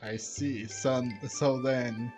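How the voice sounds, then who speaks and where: A man's voice: mid-range voice, fairly even intonation, takes it slowly, music in the background. A man doing casual, playful let's-play commentary, reacting aloud and reading game dialogue. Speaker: game streamer.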